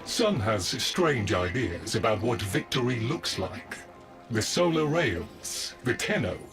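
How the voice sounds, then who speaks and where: A man's voice: medium pitch, big pitch swings, slow, creaky, music in the background, heavily acted. A man voicing a courteous, earnest, fretful robot servant in a video game, speaking formally and precisely. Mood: grave.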